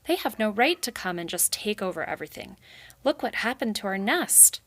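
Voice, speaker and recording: A woman's voice: medium pitch, very animated, moderate pace, a bit bright, pitched above the performer's own voice. A hot-headed young mouse brother from a storybook, acted out by a woman reader. He is snappish and indignant, defiant on top of his nerves. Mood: sharp.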